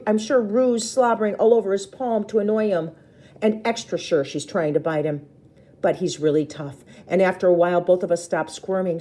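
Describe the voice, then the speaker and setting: A woman's voice: medium pitch, warm tone. A woman storyteller reading a children's book aloud expressively, with brief explanatory asides to listeners.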